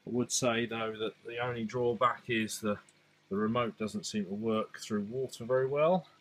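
A man's voice: medium pitch, animated, normal speed, a bit bright. A man giving a casual, hesitant, unscripted hands-on update as a hobbyist vlogger, on an amateur phone video.

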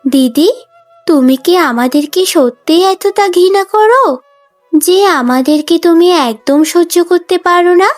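A woman's voice: very high voice, animated, a little slow. A woman voicing a sweet, selfless younger daughter in a cartoon story, earnest and affectionate. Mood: hurt, pleading. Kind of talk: Bengali cartoon story dubbing. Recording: music bed under the voice.

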